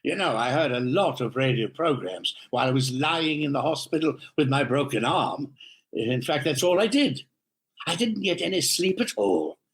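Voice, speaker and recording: A man's voice: a little high, lively intonation, moderate pace, close to an everyday voice. Man acting droll, neurotic raconteur, swooping intonation. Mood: dryly droll. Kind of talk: 1930s radio comedy acting.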